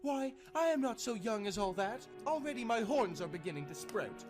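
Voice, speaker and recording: A man's voice: very high-pitched, animated, normal speed, music in the background, voice pushed up. A man voicing a proud, indignant little demon girl in a storybook tale.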